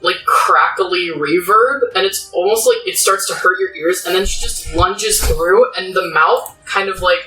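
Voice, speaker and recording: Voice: mid-range voice, a little slow, hardly any pauses, sharp tone, distant mic. A player rambling through a description with many fillers. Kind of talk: casual tabletop play. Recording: echoey room, off-mic, faint music.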